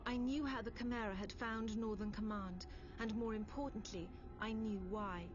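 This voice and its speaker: Actress as British female military officer, game narrator: composed, grave and measured, slightly muffled audio. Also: on the high side, steady tone, natural voice. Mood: grave, foreboding. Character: composed British female intelligence officer recounting a grim war. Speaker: story narrator. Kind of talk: scripted dramatic narration. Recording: dull lo-fi game audio, music behind. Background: loud background music.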